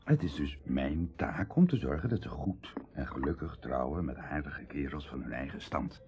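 An actor playing a proud, hard-up aristocratic bachelor in a radio drama, confiding and passionate, with emotional, dramatic delivery shifting from hushed to outbursts. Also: male voice, extremely low pitch, expressive, moderate pace. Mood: earnest, confessing. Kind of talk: Dutch radio drama acting.

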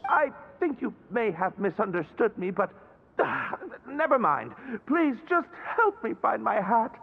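A timid young man in a video game, pleading and polite. His voice is very high and swoops a lot as he hesitates and stammers. It sounds slightly muffled, with faint music behind. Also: very animated, measured pace, gravelly, slightly rough, heavily acted. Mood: hesitant, earnest. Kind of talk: animated video game acting.

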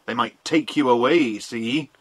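A man's voice: medium pitch, measured pace, slightly rough, low-quality recording. An actor with a British accent playing a stern, shifty stepfather who gives insistent, ominous warnings in a children's audio drama.

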